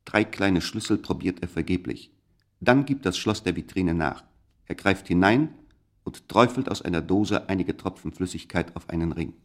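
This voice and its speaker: A male narrator of a scripted radio play with a deep voice. He tells the story in a measured, suspenseful way. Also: animated.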